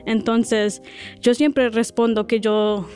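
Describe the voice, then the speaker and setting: A woman's voice: fairly high pitch, flat delivery, takes it slowly, darkish tone, faint music behind. A woman in her twenties from Washington, D.C., answering interview questions in a hesitant way, with many fillers and tag questions. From Washington, D.C., United States. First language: Spanish. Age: 20s. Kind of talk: casual interview conversation.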